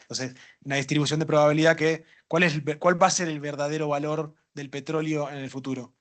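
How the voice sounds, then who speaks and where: A man's voice: fairly high pitch, quick pace. Man answering workshop questions. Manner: relaxed, thinking aloud, joking. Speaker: workshop instructor. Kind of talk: casual lecture Q&A.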